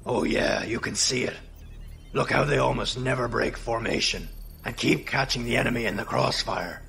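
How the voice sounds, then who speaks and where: A man playing a gruff, wry veteran crew boss in a space audio drama, with a gravelly, folksy way of talking. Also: mid-range voice, moderate pace, fairly bright tone, soft background music. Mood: admiring, reflective.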